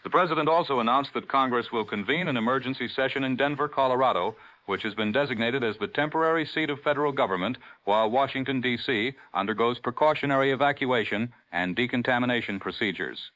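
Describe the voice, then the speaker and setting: A male actor as a solemn news anchor in a 1940s radio drama. His delivery is formal and measured, with a bright, projecting edge. The sound is slightly muffled, like vintage radio. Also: mid-range voice, close to an everyday voice. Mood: grave, composed. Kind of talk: 1940s radio newscast acting.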